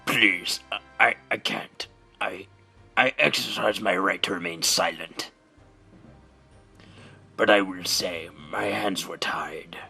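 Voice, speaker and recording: A pompous old man, with a very creaky, sharply forceful voice. He blusters theatrically like a grand old commander and trips over his words, over faint game music in a home recording. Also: low-pitched, full character voice. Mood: evasive, flustered. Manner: theatrical, stammering, military bluster. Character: pompous, dramatic old male space-center director. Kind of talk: video game fandub acting.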